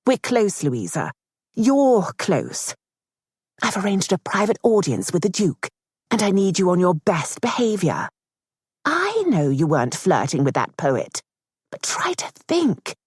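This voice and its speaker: A woman voicing a stern, scheming aristocratic mother who speaks in a brisk, cutting, commanding way. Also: mid-range voice, big pitch swings, husky, dry recording, studio quality, pitched above the performer's own voice. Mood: earnest, insistent. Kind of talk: audiobook character voice.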